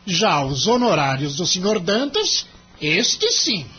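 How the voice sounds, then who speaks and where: A Brazilian actor playing a cynical, money-minded senior lawyer in an audio drama, emphatic and condescending. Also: male voice, high voice, sing-song, takes it slowly, pushed and bright, breathy edge, muffled recording, faint music behind, full character voice. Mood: scornful, domineering. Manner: emphatic, condescending, theatrical delivery.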